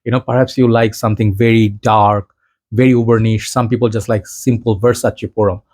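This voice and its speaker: A man's voice: lowish voice, flat delivery, brisk, darkish tone. Bangladeshi-accented man chatting casually. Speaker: fragrance reviewer and seller. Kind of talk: casual vlog chat to viewers.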